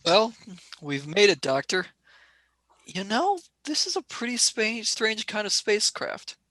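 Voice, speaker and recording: A man's voice: high-pitched, big pitch swings, measured pace, sharp tone, no room sound, exaggerated voice. A man voicing a blunt, skeptical young male space pilot who argues casually in a radio play. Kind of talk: amateur radio play acting.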